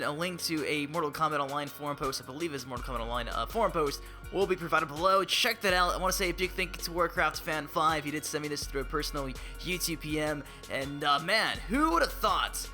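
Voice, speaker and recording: A young man hosting casual gaming commentary, excitable and hype-driven, his voice slightly raspy as it recovers. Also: a little high. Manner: excitable, hype-driven, laughs and blurts out reactions. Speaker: gaming YouTuber.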